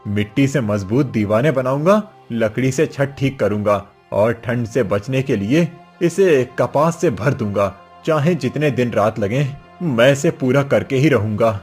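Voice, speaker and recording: A man's voice: mid-range voice, measured pace, hardly any pauses, a little mellow, close to an everyday voice. A man playing a poor, determined village father in a cartoon story, speaking in an earnest, declaiming way. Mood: resolute. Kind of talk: cartoon story character acting. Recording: music behind the voice.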